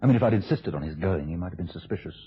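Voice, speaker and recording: An actor in a radio play as a nervy, scheming husband acting the flustered host, stammering and over-polite. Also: male voice, deep voice, expressive, racing, breathy edge, heavily acted. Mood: tense, conspiratorial. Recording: dull, lo-fi old radio recording.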